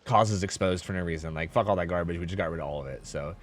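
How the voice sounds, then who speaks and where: A man's voice: low voice, quick pace. A man chatting casually while streaming a game, rambling, with many fillers and casual swearing. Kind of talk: casual chat while gaming.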